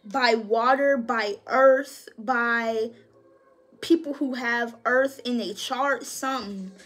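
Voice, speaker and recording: A woman giving a casual tarot reading in a high voice, swearing freely and talking with slangy emphasis. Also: slow pace, a bit bright, soft background music. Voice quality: high-pitched voice. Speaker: tarot reader.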